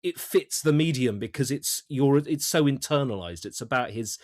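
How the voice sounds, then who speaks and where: A man chatting as an interview guest about his creative work, thinking aloud haltingly and often repeating words. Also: medium pitch, lively intonation, no echo, studio quality. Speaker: audio drama writer-director, interview guest. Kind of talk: casual interview chat.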